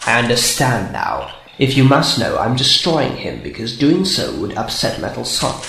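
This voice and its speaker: A vicious, gloating battle robot performed by a man in an amateur radio play. He makes grand taunts and threats with a very animated, swinging delivery. The recording is roomy and echoey. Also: medium pitch, normal speed, vocal fry, a little hiss or hum, heavily acted. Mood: gloating, menacing. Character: menacing, gloating male battle robot villain. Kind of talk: amateur radio drama acting.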